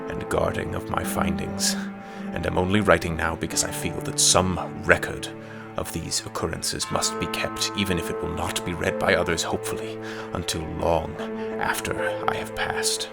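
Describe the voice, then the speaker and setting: A man playing a haunted, reclusive professor narrating past horrors in a formal, deliberate, ominous way for an audio horror play. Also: low-pitched, gravelly, heavily acted. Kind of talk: scripted first-person horror narration.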